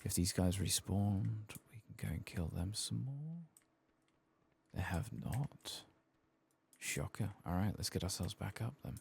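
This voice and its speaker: A man doing soft-spoken ASMR commentary as he plays a video game, murmuring to himself in a low-key way with a gravelly voice. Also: expressive, unhurried. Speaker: gaming streamer. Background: keyboard typing and mouse clicks.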